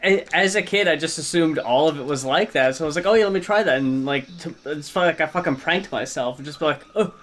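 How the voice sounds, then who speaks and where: A man's voice: fairly high pitch, fast, hardly any pauses, fairly bright tone. A young man co-hosting a casual riff on old commercials, joking, swearing and telling rambling stories. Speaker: co-host riffing on old commercials. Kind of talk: casual group riffing.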